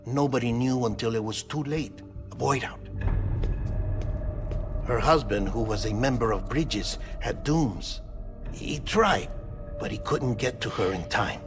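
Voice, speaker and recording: An actor playing a melancholy, earnest lab-grown man in a cinematic video game, speaking with deliberate, confessional phrasing. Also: mid-range voice, close to an everyday voice. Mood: solemn. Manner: deliberate, storytelling delivery. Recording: background music under dialogue.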